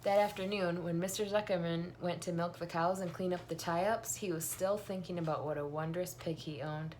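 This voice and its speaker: A woman's voice: on the low side, steady tone, normal speed, a little mellow, slight background noise, poor audio. A woman in her thirties reading a children's novel aloud at home. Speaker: reader. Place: small room at home, indoors.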